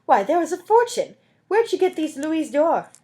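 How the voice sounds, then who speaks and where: A woman's voice: high-pitched, very animated, moderate pace, mellow, pitched above the performer's own voice. A woman voicing a kindly, fretful, exclamatory old working woman in a storybook reading. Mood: amazed.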